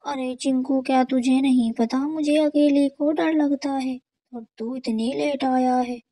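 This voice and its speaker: A woman's voice: sits high, fairly even intonation, unhurried, mellow, no echo. A woman voicing a scolding, commanding female crow. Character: bossy female crow wife, fearful and sharp.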